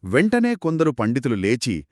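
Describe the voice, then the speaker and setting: A man telling a children's story in a conversational, expressive and explanatory way, as one of two narrators. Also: medium pitch, normal speed, no room sound, studio quality. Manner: expressive, explanatory, acts out characters' quoted lines.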